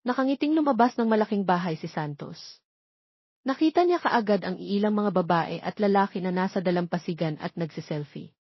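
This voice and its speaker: A woman's voice: medium pitch, animated, brisk, husky. A Filipino woman reading a scripted romance story as its narrator. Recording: dull, roomy, lo-fi audio.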